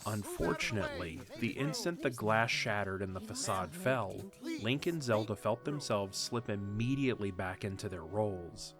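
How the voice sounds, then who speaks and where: A man narrating a fan audio drama with steady, measured reading. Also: lowish voice, over music. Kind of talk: scripted story narration.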